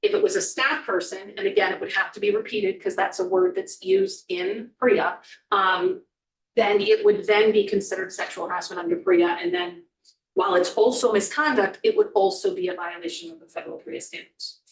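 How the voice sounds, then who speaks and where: A woman's voice: medium pitch, very animated, normal speed, vocal fry, distant mic. A woman testifying before a committee, explaining in an earnest way with frequent ums. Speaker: corrections official testifying. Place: legislative hearing room. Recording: echoey hearing room, voice off-mic.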